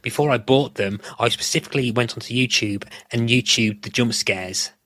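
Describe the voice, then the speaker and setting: A man's voice: mid-range voice, normal speed, pushed and bright. A man chatting casually as a podcast guest, explaining things in a rambling way. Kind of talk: casual chat.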